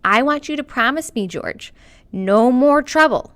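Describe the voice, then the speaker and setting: A woman's voice: sits high, animated, unhurried, a bit bright, no room sound, voice pushed up. Stern storybook aunt, read by a woman, firm, serious, with a warning tone.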